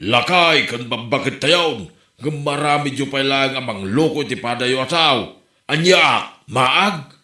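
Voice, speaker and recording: A man's voice: medium pitch, animated, a little slow, pushed and bright. An actor with an Ilocano accent playing a grumbling, feisty old village man in a comedy drama. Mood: indignant. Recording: roomy echo.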